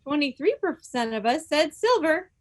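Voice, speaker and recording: A woman's voice: high voice, sing-song, moderate pace, no echo. A woman hosting an informal quiz for a group, making announcements in a playful, mock-formal manner. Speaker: quiz host at a club meeting.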